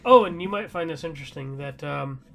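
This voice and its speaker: A man's voice: a little high, brisk, creaky, sharp tone. A man hosting a podcast, chatting casually about gaming news in a rambling, loosely conversational way. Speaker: podcast host.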